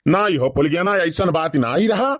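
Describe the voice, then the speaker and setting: A man's voice: on the high side, expressive, normal speed, no room sound, studio quality, natural voice. A man voicing a gossipy, complaining village uncle in an Awadhi cartoon comedy, with an Awadhi accent. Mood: defensive.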